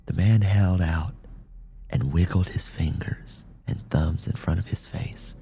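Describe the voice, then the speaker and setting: A man's voice: rumbling low, vocal fry, warm tone, slight background noise. A man reading a scripted dramatic short story as an audiobook narrator.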